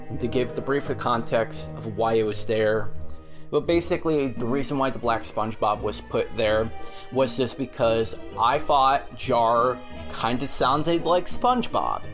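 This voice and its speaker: A man gives a personal statement in an ordinary mid-range voice. He is casual and plain-spoken as he explains, with faint music playing behind him. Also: medium pitch. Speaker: video editor.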